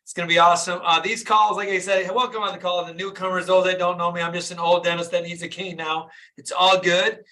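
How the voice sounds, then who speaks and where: Man, fifties, motivational host, pumped-up and chatty, quite high voice, brassy forceful tone. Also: fast, few pauses. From Flint, Michigan, USA. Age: 50s. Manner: energetic, hyped, repeats "right?" and asks the listeners questions. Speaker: dentist and business coach hosting a live call. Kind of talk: motivational live-stream talk.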